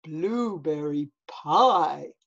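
A woman voicing a big, hungry, greedy bear in a booming, drawn-out way while reading a children's story. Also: lively intonation, muffled recording, full character voice. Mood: hungry relish. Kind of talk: children's picture-book read-aloud.

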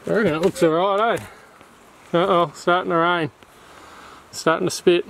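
Laid-back Australian dad filming a vlog. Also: male voice, high-pitched, steady tone, moderate pace, vocal fry, a bit bright. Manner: laid-back, joking banter with his kids. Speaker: dad filming a camping trip. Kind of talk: casual vlog narration. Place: in a car, then outdoors at a bush campsite. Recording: noisy outdoor camera audio.

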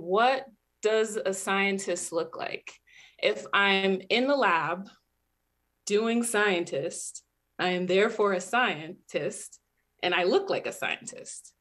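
A young American woman from New Jersey giving a measured, reflective personal talk as a PhD student, recorded over a webcam. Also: mid-range voice, a bit bright. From New Jersey, USA. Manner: earnest, measured, reflective storytelling. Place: indoor room at home.

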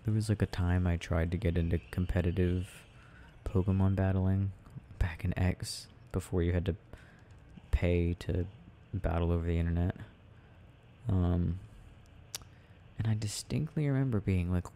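A man playing a sleepy, tender boyfriend in a soft ASMR roleplay, murmuring to himself as he games and sometimes dropping into a whisper. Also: deep voice, unhurried, slight background noise. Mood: musing. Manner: murmuring, dropping into whispers, hesitant self-talk. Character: sleepy, tender, sensitive boyfriend rambling while gaming. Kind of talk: soft intimate ASMR roleplay, unhurried rambling.

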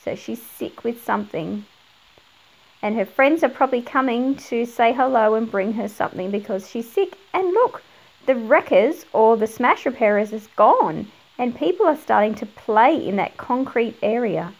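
A woman's voice: on the high side, lively intonation, normal speed, vocal fry, poor audio. A middle-aged woman narrating a picture book for children, explaining things and putting questions to young viewers. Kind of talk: read-aloud narration for children.

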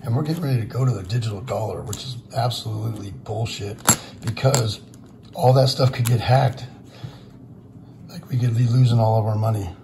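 A hoarse man, hosting a casual vlog and talking in a rambling, frustrated way with many fillers. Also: fairly low pitch, a little fast, background noise. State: losing his voice, hoarse. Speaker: channel host, silver stacker. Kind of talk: casual vlog talk to viewers. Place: indoors at a table over silver bars. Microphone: camera pointed down at the table, speaker off screen.

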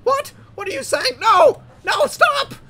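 A man's voice: very high voice, expressive, takes it slowly, bright, forceful tone, heavily acted. A man voicing a panicky, whining young talking train in a comic game roleplay. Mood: frantic.

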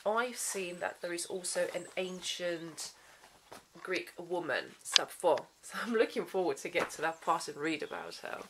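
Woman, thirties, lowish voice, chatty vlog, handheld camera, small living room. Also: noisy background. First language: Swedish. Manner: chatty, reflective, many ums and restarts. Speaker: vlogger, human rights lawyer. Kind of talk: casual day-in-the-life vlog. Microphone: phone or camera at arm's length, then camera on the floor.

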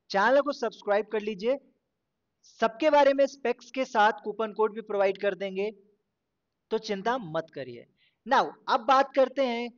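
A man's voice: high voice, dull audio. A man hosting a screen-recorded shopping tutorial, speaking in a casual, instructive way and mixing English words into his talk. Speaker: YouTube channel host.

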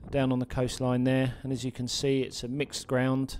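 A man narrating a sea fishing guide as a voice-over, relaxed and full of ums and uhs. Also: mid-range voice, normal speed, slight background noise.

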